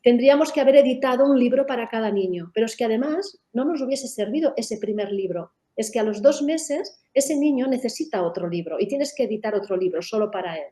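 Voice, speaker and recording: Middle-aged woman giving a webinar talk, kinda high pitch, warm mellow tone, lots of "no?" tag questions. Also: animated, brisk, hardly any pauses. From Catalonia. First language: Catalan. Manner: explanatory, with frequent tag questions and restarts. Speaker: method founder presenting in a webinar. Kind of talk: webinar presentation.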